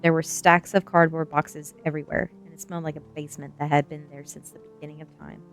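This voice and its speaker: A woman's voice: low-pitched, level tone, fast. A woman streamer reading a novel aloud in ASMR storytelling style, expressively, drawing out key words for emphasis. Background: music.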